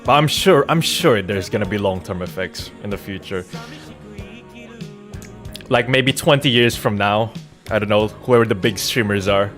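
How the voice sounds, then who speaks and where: Male streamer, fairly deep voice, loose sweary chat, joking delivery, studio condenser mic, faint music behind. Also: moderate pace, pauses often, a bit bright. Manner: rambling, informal, swearing; joking, irreverent. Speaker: game streamer. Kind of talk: casual livestream chat. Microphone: Rode NT1-A studio condenser microphone. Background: background music.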